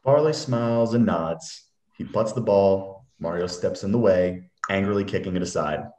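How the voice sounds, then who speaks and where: A man's voice: low-pitched, normal speed, a little mellow. A man reading scripted stage directions as narrator, recorded over a video call. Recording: roomy home video-call audio.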